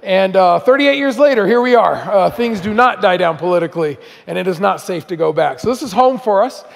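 High-voiced man preaching in a reverberant hall. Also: lively intonation, fairly quick, creaky. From Iran, raised in Southern California. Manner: chatty, self-deprecating, many fillers. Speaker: guest speaker. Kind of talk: storytelling sermon. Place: church auditorium. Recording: long reverb of a large hall.

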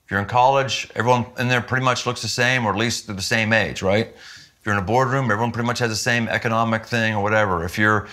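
An emphatic man in his fifties speaks as a pastor in a sit-down interview, his voice bright and forward. He stresses points with sudden lifts in pitch, recorded indoors in a quiet living room. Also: quick pace. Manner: earnest, emphatic, with lively jumps in pitch when stressing points. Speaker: senior pastor.

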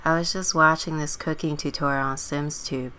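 A woman plays a teenage runaway girl in a life-simulation game story. The voice is low and dry, with a stubborn, sardonic edge. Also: steady tone, normal speed, sharp tone. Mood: dry, offhand.

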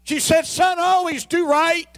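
A man's voice: very high-pitched, fairly even intonation, unhurried, fairly bright tone. A middle-aged man preaching a sermon as pastor, emphatic and calling out to his congregation.

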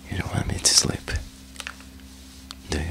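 A man whispering softly in a teasing way, with breathy laughs, in an intimate ASMR roleplay. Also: low-quality recording.

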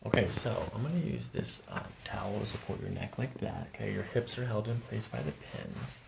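A man in his thirties, a chiropractor, quietly guiding a patient in an ASMR style with soothing, repetitive short cues. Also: lowish voice, brisk, gravelly. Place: small carpeted treatment office. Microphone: boom-arm microphone near the treatment table. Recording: noisy room recording with clicks.

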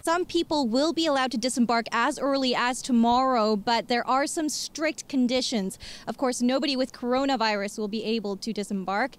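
A young woman reporting live outdoors at night by a harbor into a handheld mic, explaining in a measured way with some fillers. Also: on the high side. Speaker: freelance reporter.

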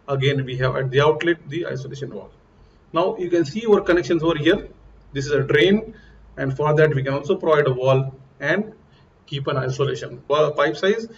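Man, middle-aged, earnest instructor, slightly high voice, muffled lo-fi audio, faint hiss. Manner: earnest, explains step by step, pointing things out on diagrams. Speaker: HVAC instructor. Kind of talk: technical lesson over slides. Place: small indoor room. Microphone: lapel mic. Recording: dull lo-fi audio with faint noise.

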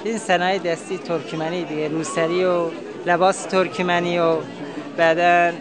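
A man in his thirties from northern Iran, a market seller answering casually amid loud crowd hubbub. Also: sits high, little pitch movement, rapid-fire, loud music behind the voice. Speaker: market seller being interviewed. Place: large covered bazaar.

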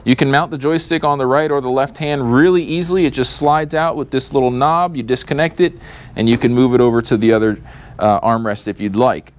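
A man's voice: mid-range voice, fairly even intonation, a little fast. A man in his thirties, a store host, walking through product specs in an upbeat sales manner in a showroom. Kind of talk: product walkthrough. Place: showroom full of scooters.

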